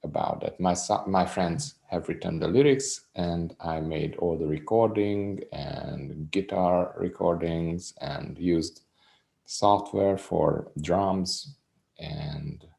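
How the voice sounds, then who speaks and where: A deep, gravelly man with a Hungarian accent, speaking slowly and calmly. His voice is dark and mellow, and he sounds reserved and soft-spoken as he chats about his music. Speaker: musician guest. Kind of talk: casual interview chat.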